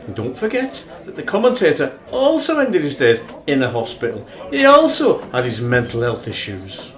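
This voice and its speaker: A man's voice: mid-range voice, huge pitch swings, normal speed, a bit bright. A man with a Northern English accent acting in a radio play as an argumentative, wry, emphatic rugby fan. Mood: insistent. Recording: echoey room.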